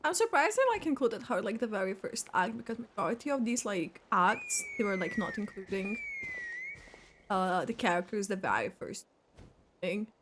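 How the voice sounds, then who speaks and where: A woman giving casual, expressive reaction commentary as she plays through a video game. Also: medium pitch, normal speed, noisy background. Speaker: gaming streamer reacting to a playthrough.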